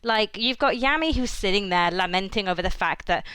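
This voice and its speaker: Female podcast co-host, smooth clear voice, dry close mic, measured delivery. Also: mid-range voice, animated, sharp tone, dry recording. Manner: measured, thinking aloud with fillers. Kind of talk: casual anime discussion.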